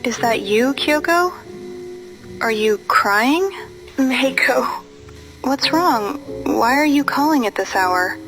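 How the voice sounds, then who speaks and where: Actress as distressed young woman, high voice, slow, hesitant, subdued, phone-call drama. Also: animated, music in the background. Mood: sad, uneasy.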